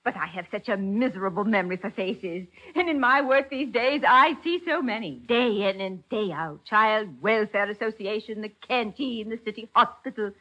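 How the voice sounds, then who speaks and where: A woman's voice: mid-range voice, normal speed, few pauses, a bit bright, natural voice. An actress playing a scatterbrained, sentimental society charity lady, fluttery and rambling, in a 1940s radio drama. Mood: breezy, distracted. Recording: muffled 1940s radio recording.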